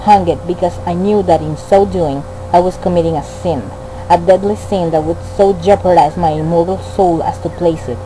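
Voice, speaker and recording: A woman's voice: fairly low pitch, steady tone, moderate pace, noisy background, close to an everyday voice. A woman playing a remorseful narrator in a radio play, confessing in formal, solemn literary prose. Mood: bitter remorse.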